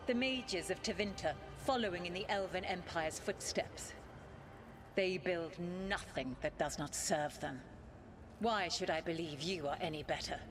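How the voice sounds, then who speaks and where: Low-voiced imperious ancient elven goddess. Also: female voice, noisy background. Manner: formal, haughty, deliberate, commanding. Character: ancient imperious elven goddess spirit, proud, stern, testing petitioners. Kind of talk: dramatic video game acting.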